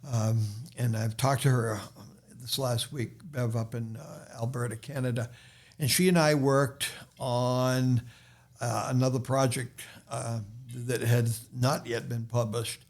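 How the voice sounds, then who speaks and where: A man's voice: medium pitch, flat delivery, a little slow, darkish tone. A man with a Boston accent, a podcast guest and author, talking in a casual chat with many restarts and fillers.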